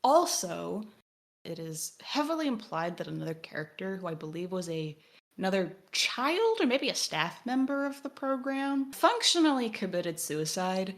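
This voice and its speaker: A woman's voice: medium pitch, sing-song, moderate pace. A woman co-hosting a podcast, talking through a video game in an analytical, wordy way as she thinks aloud. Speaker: podcast co-host. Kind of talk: discussion of a video game, partly reading text aloud.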